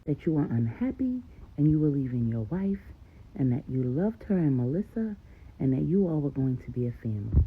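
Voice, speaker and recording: A woman's voice: lowish voice, breathy edge, phone-quality sound, exaggerated voice. A woman voicing a distraught older mother in a told story, emphatic, pleading and emotional as she confesses.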